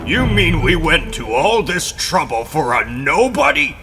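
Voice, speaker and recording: A man voicing a gruff, blunt, impatient rock monster hero in a comic book dramatization. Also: a little high, very animated, unhurried, bright, forceful tone, faint music behind, heavily acted.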